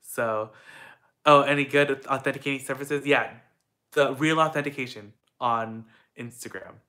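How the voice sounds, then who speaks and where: A man's voice: mid-range voice, moderate pace, pushed and bright. A young man from the Philippines chatting casually at home, answering viewer questions in an upbeat way with lots of fillers. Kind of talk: casual Q&A chat.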